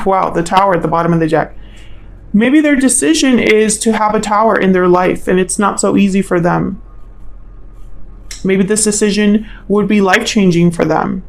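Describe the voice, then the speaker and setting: A woman's voice: medium pitch, normal speed, vocal fry. A woman giving an informal tarot reading as host, musing and thinking aloud in a tentative way. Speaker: tarot reader, channel host.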